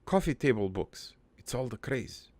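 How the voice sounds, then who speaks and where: A man voicing a middle-aged, foreign-born cartoon father who rambles through his life story in a deadpan, halting, improvised monologue with a gravelly voice. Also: medium pitch, dry recording, natural voice. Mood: earnest, crude. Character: rambling, crude, wistful foreign-born immigrant father named Joey.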